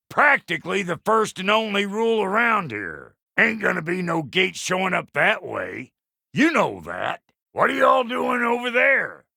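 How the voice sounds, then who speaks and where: Video game dwarf blacksmith: crude, cranky and sly, creaky and piercingly bright, folksy insults. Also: male voice, high-pitched, no room sound, studio quality, full character voice. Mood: bossy, impatient. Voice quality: gravelly, creaky, sharp. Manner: folksy, blunt, drawling slang and colorful insults. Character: crude, cantankerous, foul-mouthed dwarf blacksmith with a sly streak. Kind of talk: video game character acting.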